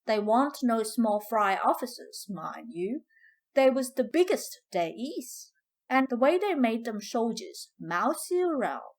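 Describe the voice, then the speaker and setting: Casting: female reader as older Southern US freedwoman, higher-pitched, unhurried, proud and fiery, emphatic dramatic storybook dialect. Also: big pitch swings, full character voice. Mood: reminiscing.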